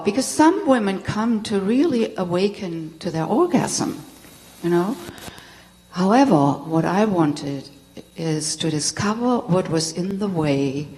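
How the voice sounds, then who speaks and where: Low-voiced German woman in a hall. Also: darkish tone, a little hiss or hum. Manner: candid, storytelling, laughs often. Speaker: tantra teacher and speaker. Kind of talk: personal talk to a live audience. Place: spiritual center hall. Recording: long hall reverb.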